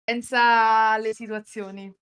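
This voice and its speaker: High, bright woman interjecting emphatically. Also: flat delivery, a little slow, clean tone. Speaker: co-reader on a livestream. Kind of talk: casual livestream banter.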